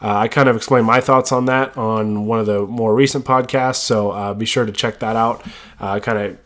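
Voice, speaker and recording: A man's voice: fairly low pitch, fairly even intonation, normal speed, a bit bright. A man hosting a sports podcast while stuffed up with a cold, talking casually with many fillers and restarts. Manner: rambling with frequent fillers and restarts. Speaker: podcast host.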